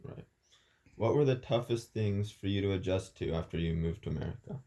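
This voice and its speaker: A man's voice: low-pitched, lively intonation, fairly quick, mellow. A man interviewing a guest, asking brief, plain questions from some distance from the mic.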